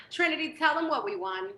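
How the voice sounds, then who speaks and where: A woman hosting a casual show, talking in an excitable, playful way. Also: sits high, normal speed, roomy sound, noisy background. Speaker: podcast host. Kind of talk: casual chat.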